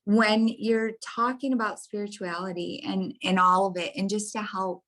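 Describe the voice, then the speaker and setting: A woman podcast guest from the United States talking casually and earnestly about her views, haltingly, checking that she is followed, her voice tiring. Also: medium pitch, steady tone, fairly bright tone. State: voice tiring, throat clearing. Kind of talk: casual reflective spiritual conversation.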